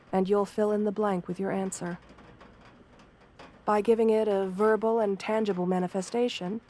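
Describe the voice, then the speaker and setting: Soft-spoken, gentle wolf-girl therapist played by a woman. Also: mid-range voice, a little hiss or hum, lightly acted. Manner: soft-spoken, soothing, measured, reassuring. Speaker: therapist character speaking to a patient. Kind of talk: ASMR roleplay, guided affirmations.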